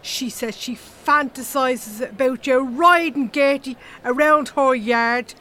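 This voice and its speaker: An actress playing a blunt, chatty, gossipy Irish animal-rights activist with an earthy colloquial manner in a comedy audio drama. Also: female voice, sits high, takes it slowly, fairly bright tone. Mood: teasing, gossipy.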